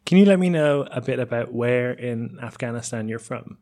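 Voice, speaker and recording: A man's voice: medium pitch, moderate pace, warm tone. A man hosting a documentary interview series, reading a scripted intro and then asking friendly questions. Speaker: podcast host.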